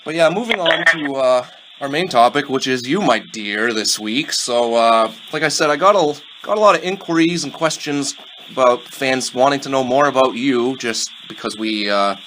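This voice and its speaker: A man hosting a podcast interview, relaxed and chatty, with many fillers and quick laughs. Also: medium pitch, a little hiss or hum, rough recording. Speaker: podcast host. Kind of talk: casual interview.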